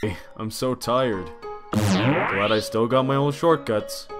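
A man's voice: medium pitch, takes it slowly, lightly acted. A man voicing a drowsy, joking skeleton in a cartoon comic dub, casual and wry. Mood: weary. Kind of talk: comic dub character acting. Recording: loud background music.

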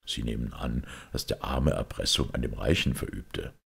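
A man voicing a grave, thoughtful priest detective who explains things in a measured, sombre way in an audiobook. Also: rumbling low, creaky, a bit bright, husky, full character voice. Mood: explaining. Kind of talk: audiobook character acting.

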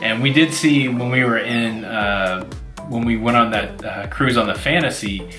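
A man in his forties with a bright, forceful voice, chatting casually and circling his points with plenty of "uh"s. The sound is roomy and echoey, with faint music underneath. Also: mid-range voice, normal speed. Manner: rambling, many "uh" fillers, self-deprecating. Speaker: vlog co-host. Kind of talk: casual chat. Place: indoors, seated before a virtual backdrop.

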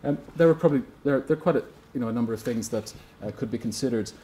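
A man in his forties giving a measured academic panel talk in a seminar room, hesitant with frequent restarts. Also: medium pitch, mellow. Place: seminar room at a conference table.

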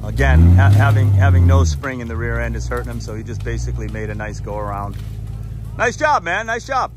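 A man's voice: fairly low pitch, brisk, dull audio, noisy background. A man giving casual commentary and coaching drivers on a wooded off-road trail, recorded on a handheld camera outdoors. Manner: relaxed, chatty, coaching drivers over obstacles. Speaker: off-road vlogger filming the trail.

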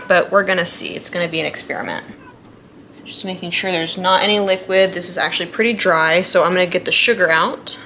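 A young woman talks casually through a cooking demonstration. Her voice sits at an average pitch for a woman, and she explains each step in a chatty way. The recording carries some light noise and room ambience from a home kitchen. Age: young adult. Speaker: home cook hosting a cooking vlog.

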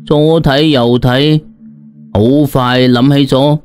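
A male storyteller with a mid-to-low voice reads a colloquial tale in the first person. He is expressive and slangy, acting out the drama, with music playing behind him. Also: takes it slowly, clear, resonant tone. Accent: Cantonese. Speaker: audiobook storyteller. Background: background music.

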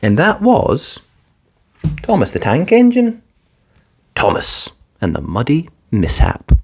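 Very animated storybook narrator. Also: medium pitch, slow, vocal fry, breathy edge. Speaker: children's picture book reader. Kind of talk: expressive read-aloud storytelling.

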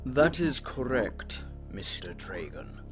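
Slow, grave, stern professor. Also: male voice, medium pitch, sing-song, soft background music. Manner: grave, deliberate, formal. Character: stern, long-lived male professor, principled and unyielding. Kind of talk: full-cast sci-fi audio drama.

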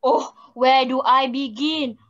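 A woman's voice: high-pitched, steady tone, takes it slowly. A teenage girl with a Singaporean accent playing an aggrieved fairy-tale grandmother who complains theatrically. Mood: accusing. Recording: muffled video-call audio.

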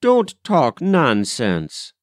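Man as a genteel, flustered mother, pitched up. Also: wildly swooping pitch, very slow, no room sound, clean studio sound, exaggerated voice. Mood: shocked, stern. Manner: genteel, exclaiming, decisive. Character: proper, flustered Edwardian mother, brisk and practical. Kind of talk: storybook character voice.

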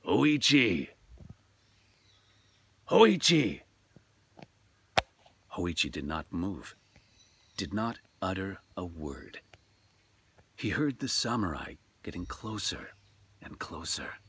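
A man's voice: sits low, expressive, takes it slowly, pauses often, sharp tone, slightly rough. A middle-aged man who works as a storyteller, talking and narrating in a dramatic way. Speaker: storyteller, voice actor. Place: outdoors in a forest.

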